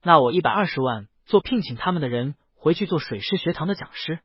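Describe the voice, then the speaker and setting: Naive young aristocrat male reader acting, earnest and candid, animated intonation, a touch husky. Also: fairly high pitch, fast talker, dry recording, dull audio. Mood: eager. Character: Xu Chun, earnest, eager, naive rich young nobleman.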